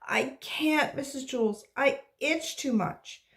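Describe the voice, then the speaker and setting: Woman acting a whiny, itchy little schoolgirl, high-pitched. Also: takes it slowly. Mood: whiny, complaining.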